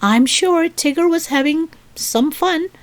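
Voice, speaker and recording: A woman voicing a gentle, soothing male bear in a children's story, with a mild, peacemaking manner. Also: very high-pitched, measured pace, pitched above the performer's own voice.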